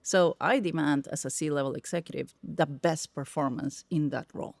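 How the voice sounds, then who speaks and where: A middle-aged woman from Peru with a Spanish accent, a guest telling stories in an emphatic, animated way. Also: sits low, moderate pace, no room sound, clean studio sound. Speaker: podcast guest, tech executive. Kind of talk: casual interview conversation.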